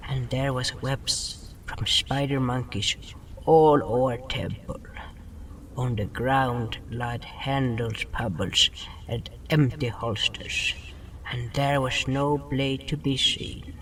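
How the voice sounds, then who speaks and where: Man as a slow, solemn young bird storyteller. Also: medium pitch, recorded from a distance, rough recording. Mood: tense, hushed. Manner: solemn, deliberate, drops articles. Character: timid, cautious young male bird narrator, broken grammar. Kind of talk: first-person fantasy story narration.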